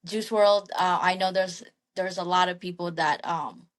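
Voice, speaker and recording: A woman's voice: on the low side, steady tone, moderate pace. A young woman from the Philippines, a singer and guest, talking casually in an interview with frequent ums and restarts. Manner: soft-spoken, frequent ums and restarts, light laughs.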